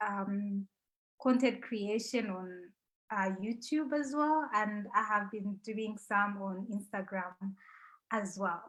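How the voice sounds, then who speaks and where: A woman from Rwanda who runs a vegan food business, introducing herself on an online panel in a relaxed, chatty way over a video call. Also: on the high side, low-quality recording.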